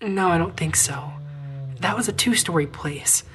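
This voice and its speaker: A woman's voice: on the low side, normal speed, fairly bright tone, natural voice. A woman playing a cautious, worried young companion in an audio drama, with a hesitant, anxious delivery. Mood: wary. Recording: music under the voice.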